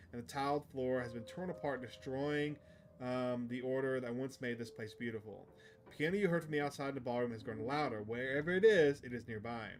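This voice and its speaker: Male let's-player, mid-range voice, chatty, reads game text to viewers, slight noise on the recording. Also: medium pitch. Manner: chatty, addresses stream chat. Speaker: video game streamer. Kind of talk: live let's-play commentary mixed with reading game text aloud.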